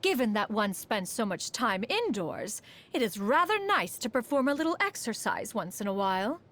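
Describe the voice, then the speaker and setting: A woman's voice: a little high, very animated, moderate pace, a bit bright. A woman voicing a refined, aloof inventor in a video game, with formal, haughty, old-fashioned diction and a proud but doting temper. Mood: pleased, instructive. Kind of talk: scripted video game character lines.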